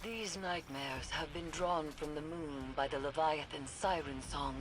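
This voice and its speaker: An actress playing a serene, compassionate mystic mentor in a video game, speaking calmly with formal, measured phrasing. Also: female voice, low voice. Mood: grave, explaining. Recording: music behind the voice.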